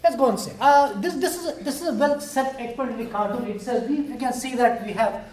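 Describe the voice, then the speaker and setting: A man's voice: very high-pitched, quick pace, darkish tone. Indian-accented man lecturing in a hall. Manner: chatty and self-deprecating, with fillers and jokes. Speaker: biology professor. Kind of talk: university lecture. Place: lecture room. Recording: roomy hall, heard from far.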